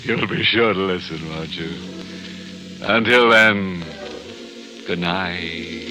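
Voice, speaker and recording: Slow, sharp-edged ghoulish radio horror host. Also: male voice, low-pitched, heavily acted. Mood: mock-cordial, sinister. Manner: macabre punning, drawn-out delivery, sinister chuckles. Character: ghoulish male horror host, slyly gleeful at death. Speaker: mystery show host. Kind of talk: 1940s-50s radio horror hosting. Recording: vintage radio broadcast with music.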